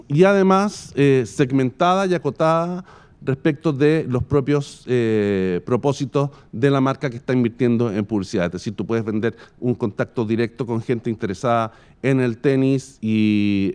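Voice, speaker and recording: A man with a Chilean accent giving an explanatory business presentation as a company executive, in formal, halting, deliberate phrases with long winding sentences. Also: medium pitch, darkish tone, clear, resonant tone. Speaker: radio and podcast company executive presenting a case study.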